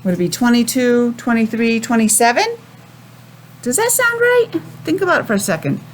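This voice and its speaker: An American woman teaching young children a simple lesson in a sing-song, questioning way with pauses. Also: a little high, lively intonation, slight background noise. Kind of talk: children's calendar and weather lesson.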